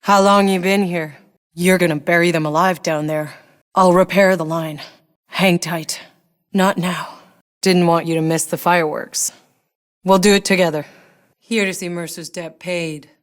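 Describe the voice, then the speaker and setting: A woman's voice: lowish voice, steady tone, a little slow, long reverb tail, close to an everyday voice. An actress voicing a tough, sardonic female sci-fi soldier in a video game, with a gritty, wry delivery. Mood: grim, guarded. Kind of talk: video game voice lines, dramatic acting.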